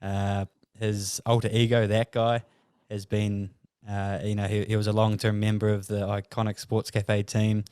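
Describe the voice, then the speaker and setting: A man with a New Zealand accent hosts a podcast and reads the guest introduction with frequent hesitations. His voice sits low and flat but comes across smooth and clear, and the sound is dry. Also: no room sound. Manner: halting, many "uh" fillers while reading out an introduction. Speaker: podcast host. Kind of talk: casual podcast interview and introduction.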